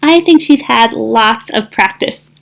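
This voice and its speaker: A woman voicing a gentle, loyal young male duck in a storybook, with an earnest, expressive manner. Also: fairly high pitch, takes it slowly, creaky. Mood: sincere.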